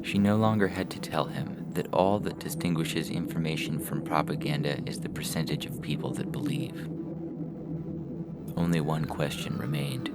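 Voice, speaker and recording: Super deep male narrator for a story podcast, reading scripted stuff, loud music all under it. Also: steady tone, normal speed.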